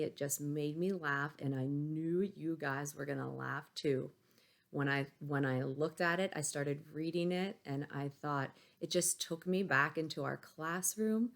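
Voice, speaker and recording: Woman, elementary teacher, low pitch, slow pace, expressive storybook read-aloud, chatty asides. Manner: expressive, chatty, addressing young pupils directly. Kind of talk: children's picture book read-aloud with casual asides.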